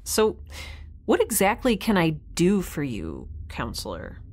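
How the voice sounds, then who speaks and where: Wry, lively young space scientist. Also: female voice, lowish voice, measured pace, exaggerated voice. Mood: dry, sarcastic. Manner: sarcastic, breezy, casual. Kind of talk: science fiction audio drama acting.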